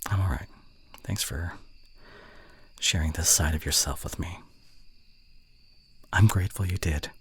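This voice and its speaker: A man acting a fond, gently teasing young college voice actor in an intimate ASMR roleplay, speaking softly and hesitantly. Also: sits low, a little slow, long pauses, slight background noise. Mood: wistful, tender. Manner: soft, hesitant, tender delivery with whispered asides.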